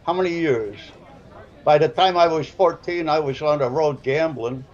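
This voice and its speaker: A man's voice: on the high side, moderate pace, darkish tone, highs cut off. A man in his seventies with a South Side Chicago accent, giving rambling, folksy color commentary on a pool match. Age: 70s.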